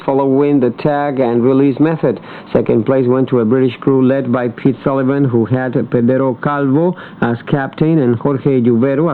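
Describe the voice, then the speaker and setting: A man presenting scripted sports news on a radio broadcast, reading as a newscaster. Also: fairly high pitch. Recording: tinny, band-limited shortwave radio broadcast. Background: shortwave radio reception.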